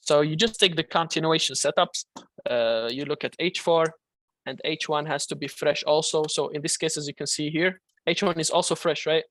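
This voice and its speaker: A man's voice: a little high, steady tone, moderate pace, fairly bright tone. A man teaching a live online trading class, coaching casually and reading out questions from the chat. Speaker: trading instructor.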